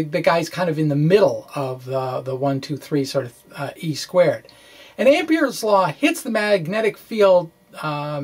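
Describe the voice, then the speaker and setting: A man giving an informal physics lecture, thinking aloud haltingly with folksy, colloquial asides. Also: high-pitched. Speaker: physics explainer presenting his own blog.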